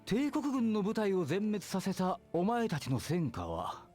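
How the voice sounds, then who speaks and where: A man's voice: high-pitched, wildly swooping pitch, a little fast, a little mellow, faint music behind, exaggerated voice. A man playing an arrogant regular army soldier in an anime game, mocking and cocky.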